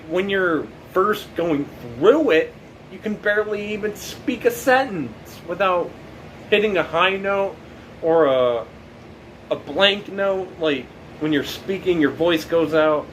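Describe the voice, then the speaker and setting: A young man in his late teens talking casually about his life, rambling and halting, with many fillers and restarts. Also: fairly high pitch, slight background noise, rough recording. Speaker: documentary subject. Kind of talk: casual reflective monologue.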